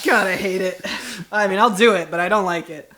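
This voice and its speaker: A woman's voice: medium pitch, brisk, background noise. A woman hosting a comedy podcast, bantering casually in a playful, mock-stern way.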